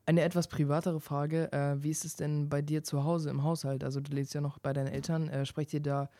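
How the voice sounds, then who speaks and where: Podcast interviewer guy, kinda flat and gravelly, asking questions. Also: on the high side, brisk, no echo, clean studio sound.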